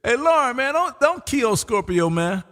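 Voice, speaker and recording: A man's voice: very high-pitched, sing-song, normal speed, fairly bright tone, big-room sound. A jovial, teasing man hosting a live amateur radio stream in casual chat, repeating himself and peppering his talk with "man". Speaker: amateur radio operator and livestream host. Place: home radio room.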